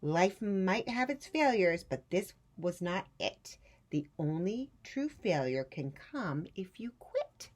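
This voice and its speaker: Low, lively woman reading a storybook. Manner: measured, dramatic emphasis. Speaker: storytime reader. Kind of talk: expressive children's storybook narration.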